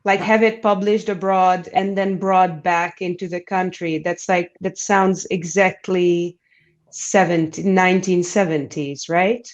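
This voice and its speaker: A woman's voice: lowish voice, fairly even intonation, a little slow, muffled recording. A woman moderating a panel, asking questions in a casual, conversational way. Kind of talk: panel discussion.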